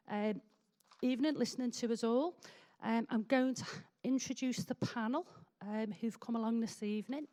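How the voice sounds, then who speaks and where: A woman's voice: a little high, darkish tone. Chatty Liverpool woman chairing a meeting. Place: public hall meeting.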